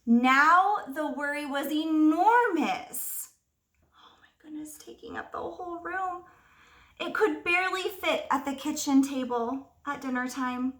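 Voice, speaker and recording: A woman teacher reading a picture book aloud to young children, expressively, pausing to ask them simple questions. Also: high voice, a bit bright. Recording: roomy echo, distant microphone.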